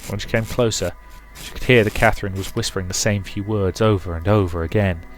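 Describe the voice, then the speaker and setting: A man's voice: on the low side, steady tone, brisk, soft background music. A man reading a visual novel aloud as dramatic narration for a let's play. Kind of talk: dramatic storybook-style narration with commentary.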